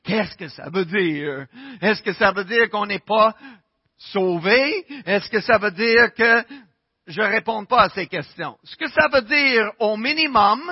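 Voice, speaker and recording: English-speaking Canadian pastor preaching in French, slow and deliberate, muffled close sound. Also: male voice, sits high, breathy edge, no room sound. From Winnipeg, Canada. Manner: conversational preaching with rhetorical questions. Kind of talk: sermon.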